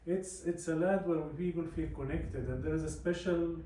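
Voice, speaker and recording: A Palestinian man in his forties with an Arabic accent, a native Arabic speaker, giving an informal personal talk in a halting way with repeated words. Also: a little high, dark tone. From Palestine, West Bank. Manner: halting, often repeats words and adds "you know". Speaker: speaker from a peace organisation. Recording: very echoey room, distant mic, faint noise.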